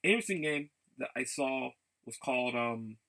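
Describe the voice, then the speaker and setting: A man with a bright, forceful voice and a lot of gravelly fry chats as a casual vlogger. He is keen and rambling, often stopping to repeat himself or change course mid-sentence. His pitch sits in an ordinary male range. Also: animated. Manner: halting, repeats words and backtracks often. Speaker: vlogger showing game and figure pickups. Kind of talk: casual rambling vlog chat.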